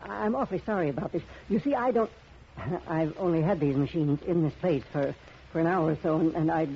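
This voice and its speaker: A man's voice: fairly high pitch, fairly quick, creaky. On a muffled, slightly hissy vintage radio recording, a meek shopkeeper. He is flustered and apologetic, stammering and hesitating over his words in mid-century radio drama style. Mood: nervous, apologetic. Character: timid, flustered, apologetic shopkeeper, a nervous man. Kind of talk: 1940s-50s radio drama acting. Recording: muffled old radio recording, light hiss.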